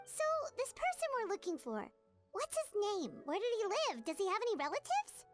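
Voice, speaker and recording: Casting: tiny childlike game companion, squeaky-high, bouncy melodic delivery, perky and inquisitive, music underneath. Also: female voice, huge pitch swings, normal speed, exaggerated voice. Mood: inquisitive, earnest. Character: tiny, chirpy, childlike female companion adventurer. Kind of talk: cartoonish video game voice acting.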